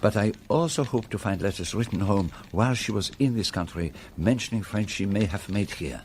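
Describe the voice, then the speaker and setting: An actor playing a shrewd, courteous older detective in a radio drama, with a French-speaking Belgian accent and formal, precise, deliberate phrasing. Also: male voice, fairly low pitch, darkish tone, a little hiss or hum, natural voice. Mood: thoughtful.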